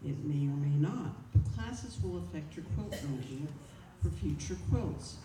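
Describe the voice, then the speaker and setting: A woman's voice: deep voice, expressive, a little slow, dark tone. A woman presenting at a club meeting, reading a prepared speech aloud with informal asides. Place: indoor meeting room, speaking to a group. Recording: roomy, distant mic, clear background noise.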